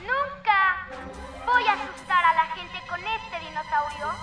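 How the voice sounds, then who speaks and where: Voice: very high voice, unhurried. A performer voicing a boastful, scheming boy villain inventor in a Latin American Spanish cartoon dub. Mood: gloating. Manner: theatrical, gloating, exclamatory. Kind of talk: animated cartoon dubbing. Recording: loud music behind. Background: loud cartoon music.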